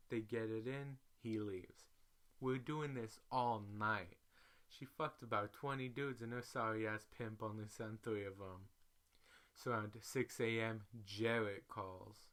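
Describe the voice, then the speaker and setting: A man giving a dramatic, comedic storytelling read, animated when he quotes people. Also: lowish voice. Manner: animated, exclamatory in quoted lines. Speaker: reader.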